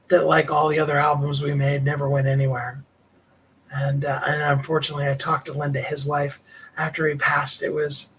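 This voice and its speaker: A middle-aged man with a higher tenor voice, hosting a livestream and talking in a rambling, heartfelt way with small laughs. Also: fairly bright tone, slight background noise, rough recording. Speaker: livestream host and singer. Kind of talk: reflective personal livestream talk.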